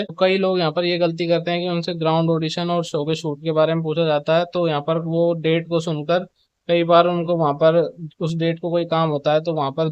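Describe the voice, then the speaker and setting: A man's voice: on the high side, clear, resonant tone, no room sound, dull audio. A man presenting updates in an informal, instructional explainer style, with repetitive phrasing. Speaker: video presenter giving show updates.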